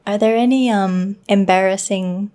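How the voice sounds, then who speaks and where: Woman, podcast host, casual conversation, relaxed, light laughter, middle pitch. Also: normal speed, smooth. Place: Japan.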